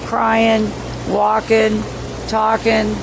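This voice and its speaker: Hoarse woman, fairly high voice, profane rambling livestream host; noisy recording. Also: monotone, extremely slow. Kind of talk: casual livestream recap reading viewer comments. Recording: noisy background.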